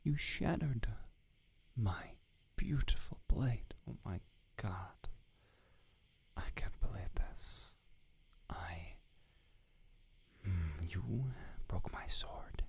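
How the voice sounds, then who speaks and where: A man's voice: deep voice, lively intonation, very slow, pauses often, darkish tone, exaggerated voice. A man playing a prickly, irritable village swordsmith, in whispered, sarcastic roleplay ASMR. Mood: exasperated. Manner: whispered, exasperated, sarcastic scolding. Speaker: ASMR voice actor.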